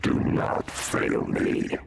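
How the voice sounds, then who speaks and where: An actor playing a cold, imperious male mastermind in an animated-style game, with a slow, rasping, menacing voice. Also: background noise. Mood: cold, threatening. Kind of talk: animated superhero game villain acting. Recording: music behind the voice.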